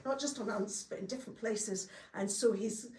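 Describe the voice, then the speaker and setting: A woman's voice: medium pitch, normal speed, a little mellow. A middle-aged woman with a Scottish accent telling a folk tale to a live audience in a chatty, homely way, with folksy asides. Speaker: storyteller. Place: longhouse hall with a live audience. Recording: echoey room, distant mic.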